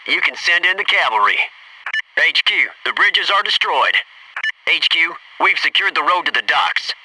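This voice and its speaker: An actor playing a gung-ho, wisecracking Navy SEAL soldier in a military video game, giving clipped tactical callouts. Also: male voice, a little high, lively intonation, moderate pace, close to an everyday voice. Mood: brisk, businesslike. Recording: noisy radio-style game audio, slightly distant.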